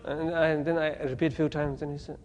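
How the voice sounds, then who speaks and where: A man from Tibet, giving a Buddhist teaching in halting, plain English with gentle humor. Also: fairly high pitch, little pitch movement, big-room sound, lo-fi sound. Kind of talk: dharma talk to an audience. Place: talk venue with audience.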